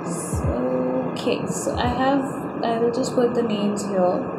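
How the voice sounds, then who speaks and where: A woman's voice: fairly high pitch, steady tone, takes it slowly, vocal fry. A young woman, casually talking viewers through a design tutorial with many fillers and restarts. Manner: chatty, direct address with many fillers and restarts. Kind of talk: casual screen-recorded tutorial. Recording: roomy voice-over with loud background music.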